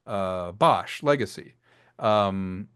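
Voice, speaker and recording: A man's voice: low voice, lively intonation, drawn-out words, fairly bright tone, clear, resonant tone, dry recording, studio quality. A man giving a casual interview as a director and actor, explaining things in an enthusiastic, rambling way. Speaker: interview guest, audio drama director and actor.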